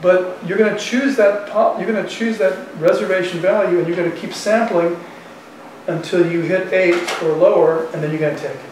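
A man's voice: high voice, fairly even intonation, moderate pace. A man in his sixties, a professor giving an informal lecture in a colloquial, conversational way, thinking aloud. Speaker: university economics professor. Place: university classroom in front of chalkboard. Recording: echoey classroom, distant mic, faint noise.

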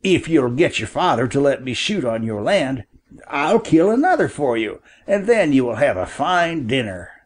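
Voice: medium pitch, very animated, normal speed. A reader voicing a persistent grown man, a hunter who coaxes and bargains with a boy, in an audiobook. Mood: coaxing. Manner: coaxing, falsely good-natured. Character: persistent adult male hunter, sly and wheedling. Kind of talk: audiobook character voice.

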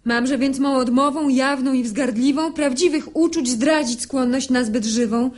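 A high-voiced, timid young daughter. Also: female voice, unhurried, a little mellow. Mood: plaintive, reproachful. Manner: plaintive, earnest, theatrical. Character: timid, lovelorn young daughter, plaintive and dutiful. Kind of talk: classical verse comedy, radio play acting.

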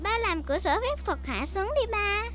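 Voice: very high-pitched, lively intonation, normal speed. A performer voicing a cheerful, eager little girl piglet with a childlike manner in a dubbed children's cartoon. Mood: excited. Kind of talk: dubbed preschool cartoon acting. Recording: loud music behind the voice.

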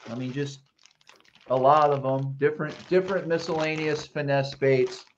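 Fairly high man's voice, crude plainspoken seller, dull lo-fi webcam sound. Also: fairly even intonation, moderate pace, slight background noise. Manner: blunt and profane. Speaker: co-host. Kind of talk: live auction presenting.

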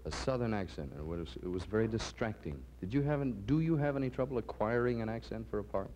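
A man in his thirties hosting a 1960s television interview in a studio, holding a handheld mic, with brisk, genial questions. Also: on the low side, lively intonation, a little mellow. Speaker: TV show host. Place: TV studio with curtain backdrop. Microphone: handheld stick mic. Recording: lo-fi 1960s TV broadcast with light hiss.

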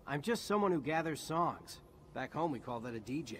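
An actor playing an earnest, plain-spoken human soldier hero in a video game, talking casually and matter-of-factly. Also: male voice, a little high, animated, moderate pace, a little hiss or hum, lightly acted. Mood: determined. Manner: casual, colloquial, matter-of-fact. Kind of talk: video game character acting.

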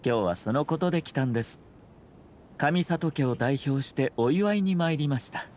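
A man voicing a composed young nobleman in an anime game, speaking in a measured, formal, polite way over faint background ambience. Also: on the high side, lively intonation, a little mellow, slight background noise, close to an everyday voice. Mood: courteous. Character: composed, courteous young nobleman and clan commissioner.

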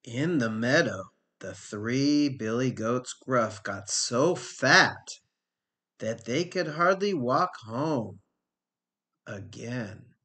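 A man's voice: medium pitch, bright, forceful tone. A middle-aged man narrating a children's storybook expressively, as a teacher reading aloud.

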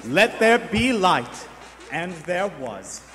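A man's voice: sits high, big pitch swings, slow pace, pushed and bright, heavily acted. An actor playing a glib, meddling young shop man, jaunty and declamatory, in old radio-play style. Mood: glib, cheerful. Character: cheeky, glib young male greengrocer, eager to meddle. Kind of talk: 1940s radio acting. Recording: echoey theater hall.

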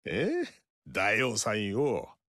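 A man voicing a gruff veteran warlord with a rough, teasing, drawling way of talking in video game dialogue. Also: lowish voice, lively intonation, drawn-out words, sharp tone, no room sound, studio quality, full character voice. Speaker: warlord character.

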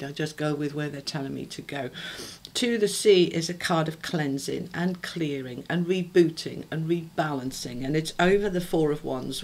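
A middle-aged British woman from the UK giving a chatty, rambling tarot reading to her viewers, her voice a little throaty and congested. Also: sits low. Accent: British English. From United Kingdom. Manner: chatty, rambling, addresses viewers warmly as a group. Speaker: tarot reader. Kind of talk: casual spoken tarot reading.

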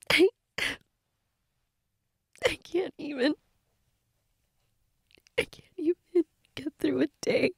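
A woman acting a grieving girlfriend in an intimate audio role-play, crying and fragile, halting and repeating herself, often dropping to a whisper. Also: sits high, vocal fry, no room sound, studio quality, full character voice. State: crying, sniffly, breathy from tears. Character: grieving girlfriend, tearful and fragile, insisting she's fine.

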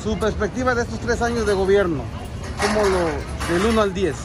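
A man's voice: high voice, a little fast, very noisy. A man working as a street reporter, asking passers-by questions in interviews. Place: outdoors on a city street at a rally. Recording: busy city street with loud crowd.

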